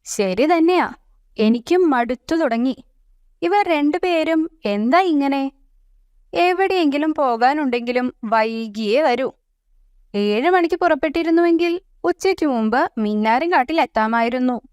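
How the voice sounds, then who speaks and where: A woman's voice: high voice, lively intonation, measured pace, darkish tone, no room sound, clean studio sound. A woman voicing a stern big-brother bear in a children's story, scolding and exclaiming. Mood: exasperated, scolding. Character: stern older-brother male bear, fed up but hospitable.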